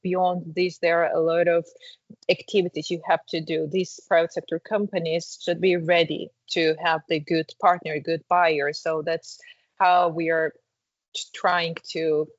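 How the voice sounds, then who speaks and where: A woman's voice: lowish voice, level tone, a little slow, no echo, dull audio. A woman answering interview questions about a development program, hesitant, with many fillers and restarts. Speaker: program representative.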